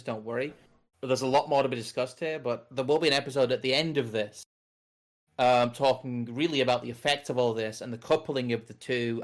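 Rambling English man, low-key voice. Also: a bit bright. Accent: General English. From North of England. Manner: rambling, self-correcting. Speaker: podcast co-host. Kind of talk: casual political discussion.

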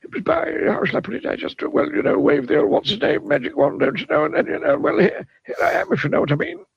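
Squeaky wordy old wizard, lots of filler, swoopy, kinda lo-fi with faint music. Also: male voice, very animated, quick pace, gravelly, heavily acted. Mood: rambling. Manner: dithering, full of filler phrases, very high put-on voice. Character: wordy rambling old male wizard, stern.

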